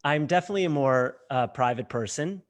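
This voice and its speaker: A man's voice: a little high, lively intonation, brisk. A man in his thirties, a rabbi co-hosting a podcast, speaking sincerely and reflectively with hesitant fillers. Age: 30s. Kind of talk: unscripted podcast discussion.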